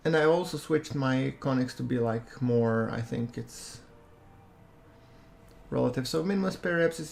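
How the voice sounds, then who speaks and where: A man giving relaxed, chatty commentary over a space-game playthrough, with a soothing voice and self-deprecating asides. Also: medium pitch, creaky, slight background noise. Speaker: gameplay commentator. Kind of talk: casual let's-play commentary.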